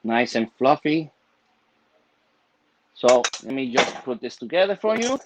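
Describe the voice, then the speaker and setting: A man's voice: mid-range voice, sing-song, unhurried, background noise. A man raised in Puerto Rico, cooking in his home kitchen during a live video-call stream, chatting with many fillers and laughing often. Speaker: cooking show guest chef.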